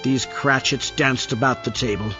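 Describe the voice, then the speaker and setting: A man's voice: mid-range voice, fairly even intonation, normal speed, close to an everyday voice. A man reading scripted storybook narration for an audio play, on a dull recording with music behind.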